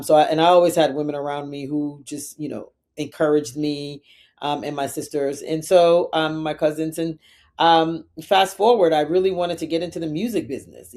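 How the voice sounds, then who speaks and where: A woman's voice: deep voice, darkish tone. Middle aged woman podcast guest, talks emphatically, chatty interview answers, keeps checking in. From Liberia and the United States, raised in New York City. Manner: emphatic, often checks in with "right?" and "you know". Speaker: podcast guest, community executive.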